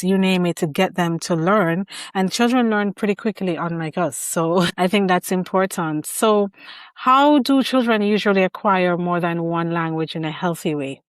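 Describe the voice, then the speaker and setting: Low-voiced woman host, dry sound. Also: unhurried, no echo. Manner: reflective, shares personal parenting experience. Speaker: podcast host. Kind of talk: conversational interview.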